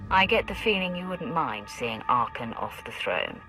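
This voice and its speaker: Sardonic, animated warrior hero. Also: mid-range voice, normal speed, music in the background, low-quality recording. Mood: probing. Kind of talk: video game cutscene acting.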